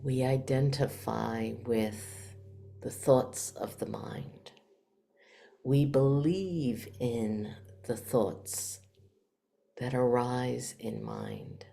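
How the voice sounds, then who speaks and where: A woman with a very deep voice guides a meditation at an extremely slow pace. Her tone is dark and mellow, and she speaks in hushed, short phrases, leaving long pauses between them. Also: vocal fry, a little hiss or hum. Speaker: meditation teacher. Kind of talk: guided meditation, spiritual talk.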